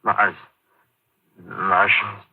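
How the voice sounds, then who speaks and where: A dazed colonel in a 1950s radio play. His low voice drags out weak, groggy fragments with long hesitations. The sound is tinny old broadcast audio. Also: little pitch movement, breathy edge, full character voice. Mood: delirious. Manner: halting, fragmented murmurs. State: groggy, semi-conscious. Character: dazed, injured male colonel, weakly mumbling. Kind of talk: 1950s radio drama acting.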